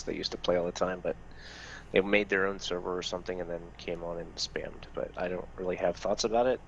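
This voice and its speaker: A man's voice: low-pitched, gravelly, dull audio, a little hiss or hum. A man who heads a gaming community's staff, answering questions in a casual group chat in a plain, matter-of-fact way with lots of fillers.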